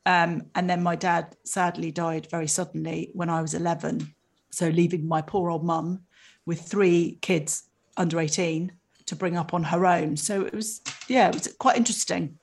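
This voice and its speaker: A woman's voice: lowish voice, steady tone, normal speed, vocal fry. A woman in her fifties, raised in England after early childhood in Egypt, chatting as a guest in a casual interview, often restarting her sentences. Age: 50s.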